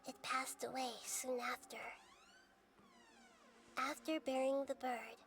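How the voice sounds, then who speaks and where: A woman voicing a gentle, solemn young female game character who speaks in a measured, earnest, soft way. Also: high voice, little pitch movement, slight background noise, full character voice. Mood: solemn, tender. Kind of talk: scripted game storytelling and dialogue.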